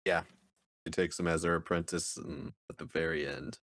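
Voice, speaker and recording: A man with an extremely low, deep voice speaks as a podcast co-host in a casual discussion. His delivery is thoughtful and a little halting, and the sound is close and dry. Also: steady tone, a bit bright, no echo. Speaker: podcast co-host reviewing books.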